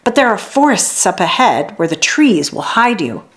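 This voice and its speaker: A woman's voice: mid-range voice, big pitch swings, normal speed, close to an everyday voice. A woman voicing a polite, earnest young girl in a children's fantasy story. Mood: gentle.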